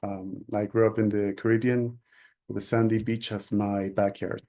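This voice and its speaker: Relaxed man, mellow low voice. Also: normal speed, dull audio. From Venezuela. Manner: relaxed, self-deprecating storytelling. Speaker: panelist. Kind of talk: casual panel introduction.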